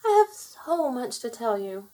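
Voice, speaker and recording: Woman voicing an excitable high-pitched little mermaid girl. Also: measured pace, poor audio. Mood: joyful.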